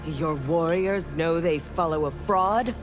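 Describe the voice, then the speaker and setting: A woman's voice: deep voice, measured pace, soft background music. An actress voicing a cold, haughty armored female ninja cyborg in video game banter. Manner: haughty, menacing.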